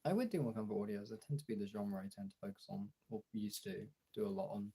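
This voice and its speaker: British male streamer, low and husky with a croaky fry, hoarse from illness, informal sweary chat. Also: fast talker, mellow. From Britain. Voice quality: raspy, throaty. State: hoarse from illness, throat clearing. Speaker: live streamer and audio voice performer. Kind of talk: casual livestream chat.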